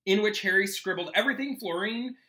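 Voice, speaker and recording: Young adult man, bright forceful voice, storybook read-aloud, expressive, teacherly, explanatory asides. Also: high voice, fairly quick. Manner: expressive, acts out dialogue. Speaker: teacher reading aloud to students. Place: small home room, indoors.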